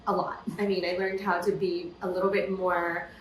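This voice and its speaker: A woman's voice: on the low side, moderate pace, echoey room, rough recording. Woman guest, casual storyteller, lots of fillers, slight background hiss. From California, USA. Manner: lively storytelling with frequent "you know" fillers. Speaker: podcast guest, entrepreneur. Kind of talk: casual interview conversation.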